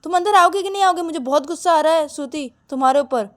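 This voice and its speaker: A woman's voice: a little high, sing-song, dark tone, pitched above the performer's own voice. A woman voicing a bossy, hot-tempered young bride in an audio story, scolding and demanding answers. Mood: angry, bossy. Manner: demanding, scolding, rapid questioning. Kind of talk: acted dialogue in narrated audio story.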